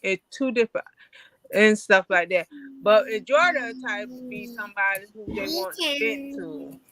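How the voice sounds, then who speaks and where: A woman's voice: on the high side, expressive, moderate pace, fairly bright tone, rough recording. A woman with a Southern US accent giving casual advice in an earnest, conversational, repetitive way. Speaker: panel guest.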